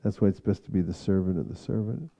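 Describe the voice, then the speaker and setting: A man speaking as a spiritual teacher in an informal devotional discussion, reflective and halting in his phrasing. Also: deep voice, vocal fry, dull audio. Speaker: spiritual teacher leading a scripture class.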